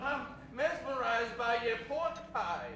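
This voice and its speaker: A man playing a loud, brash two-bit thief in old-time radio style. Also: very high voice, animated, slow pace, far from the mic, background noise, exaggerated voice. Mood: mesmerized. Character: gruff two-bit male purse thief. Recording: very echoey room, music behind.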